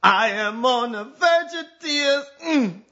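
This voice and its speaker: A man's voice: very high voice, animated, takes it slowly, pushed and bright, muffled recording, full character voice. A man playing a jilted, self-pitying man in a melodramatic stage piece, moaning a plaintive, half-sung, tearful lament.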